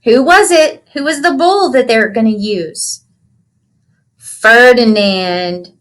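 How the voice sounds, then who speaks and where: A woman reading a picture book aloud to children in an animated storytelling way, pausing to ask them simple questions. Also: on the high side. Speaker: children's read-aloud teacher.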